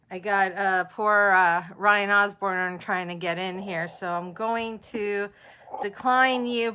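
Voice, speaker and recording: A woman's voice: mid-range voice, pushed and bright, a little hiss or hum. A woman hosting an author interview livestream, chatting casually and informally with viewers as she goes.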